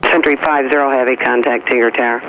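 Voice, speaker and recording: A man's voice: mid-range voice, fairly even intonation, fast, sharp tone, rough recording. A man working as an air traffic controller, giving clipped procedural instructions over aviation radio.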